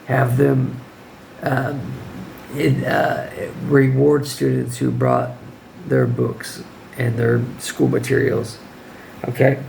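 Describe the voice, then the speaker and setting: Hoarse, gravelly-voiced man giving teaching advice. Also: mid-range voice, takes it slowly, a little mellow, slight background noise, poor audio. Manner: informal, firm, checks in with "okay?". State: hoarse, losing his voice. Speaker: English teacher. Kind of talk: casual advice vlog.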